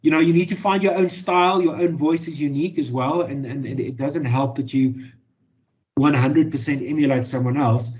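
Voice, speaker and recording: A South African man whose first language is Afrikaans answers interview questions about his writing. His voice sits slightly high, and he halts often with fillers and repeated words. The audio is muffled and lo-fi. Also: steady tone, normal speed, darkish tone. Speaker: journalist and author. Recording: dull lo-fi audio.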